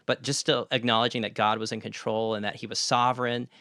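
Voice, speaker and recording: Bright-voiced male podcast host, supportive. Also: medium pitch, little pitch movement, fast, clear, resonant tone, no echo, clean studio sound. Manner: supportive, affirming. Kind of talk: conversational interview.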